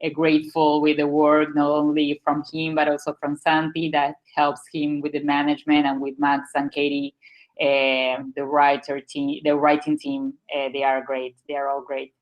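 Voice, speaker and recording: A woman's voice: deep voice, completely flat delivery, normal speed, low-quality recording. A woman, a marketing manager answering interview questions thoughtfully, with many fillers and restarts.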